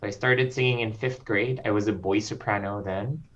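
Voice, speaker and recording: A man from the Philippines, a composer guest speaking slowly and reflectively, with frequent ums. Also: lowish voice, fairly even intonation, fairly bright tone. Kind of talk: interview conversation. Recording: slightly dull lo-fi audio.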